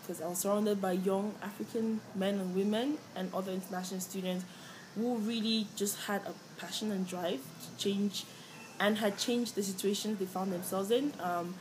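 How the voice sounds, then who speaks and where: A young woman from Cameroon, telling her story in an interview, earnest and reflective, with frequent fillers and restarts. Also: mid-range voice. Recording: clear background noise.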